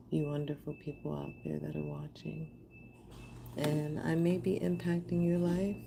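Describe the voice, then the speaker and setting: A woman's voice: sits low, vocal fry, background noise. An American woman from Houston, Texas, chatting casually and affectionately with viewers as she hosts a live art stream. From Houston, Texas, USA. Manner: affectionate, appreciative, addresses viewers directly. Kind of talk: casual livestream chat with viewers while making art.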